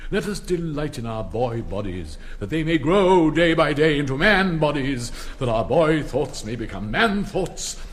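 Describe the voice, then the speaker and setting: An actor playing an elderly, pompous public-school headmaster with an upper-class English accent, declaiming ceremonially in a radio drama. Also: male voice, fairly high pitch, lively intonation, unhurried, hardly any pauses. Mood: lofty, reverent. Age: older. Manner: pompous, declamatory, sonorous oratory with stern asides.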